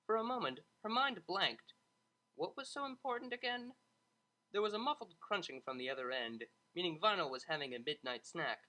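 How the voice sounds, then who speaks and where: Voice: mid-range voice, animated, moderate pace, sharp tone, poor audio. A narrator reading a scripted story dramatically, in the role of storyteller.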